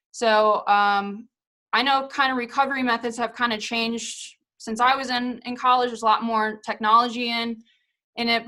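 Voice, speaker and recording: A woman's voice: on the high side, sharp tone. A woman in her thirties, a former professional soccer player, talking casually to a college team on a video call, with lots of fillers. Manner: chatty, many fillers and hedges. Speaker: guest speaker, former pro soccer player.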